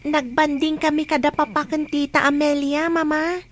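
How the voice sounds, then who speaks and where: Woman acting very high meek schoolchild. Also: level tone, normal speed, a little hiss or hum, natural voice. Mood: apologetic. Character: meek, dutiful schoolchild missing papa. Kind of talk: Ilocano radio drama acting.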